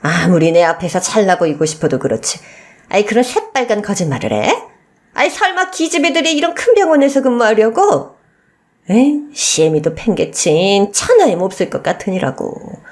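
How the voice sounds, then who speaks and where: A woman voicing a shameless, scornful old mother-in-law who wheedles and pleads with emphatic, drawn-out words in a radio story. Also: mid-range voice, sing-song, a little mellow, close to an everyday voice. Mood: sneering scorn. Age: older.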